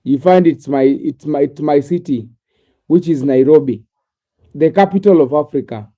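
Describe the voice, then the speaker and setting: A man's voice: fairly high pitch, normal speed, mellow. Sleepy Kenyan man chatting on a live stream. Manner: repetitive, drowsy, talks directly to viewers by name. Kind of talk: casual live-stream chat with viewers. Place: home sitting room.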